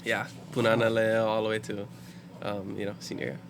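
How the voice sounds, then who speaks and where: A man's voice: fairly low pitch, brisk, vocal fry. Young guy, chatty podcast guest, laughs a lot, light background noise. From Hawaii. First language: Hawaiian. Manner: lively storyteller who laughs often. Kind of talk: casual podcast chat.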